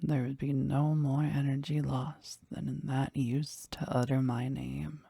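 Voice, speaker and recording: A woman playing a powerful, jealous demon devoted to their master in an intimate audio roleplay, speaking deliberately and intensely in a husky, breathy voice. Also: little pitch movement, warm tone, no room sound, studio quality, heavily acted. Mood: insistent. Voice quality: husky, breathy, low creaky voice. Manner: slow, deliberate, intense, dramatic.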